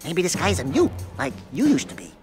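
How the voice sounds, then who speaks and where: Cocky little ottsel sidekick, high and bright, wild swoopy delivery, music under. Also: male voice, wildly swooping pitch, brisk. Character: wisecracking small male ottsel sidekick, cocky. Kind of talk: video game cutscene acting.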